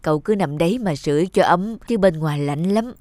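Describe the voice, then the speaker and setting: A woman voicing a kindly elderly mother in an animated fairy tale, caring and gently instructing. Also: lowish voice, brisk, lightly acted. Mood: reassuring. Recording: music under the voice.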